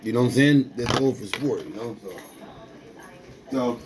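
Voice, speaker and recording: A man's voice: medium pitch, measured pace, heavy background noise. Streetwise boxer man in his forties. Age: 40s. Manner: loose, repetitive, streetwise slang with frequent restarts. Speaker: amateur boxer. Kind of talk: casual rambling talk to a companion.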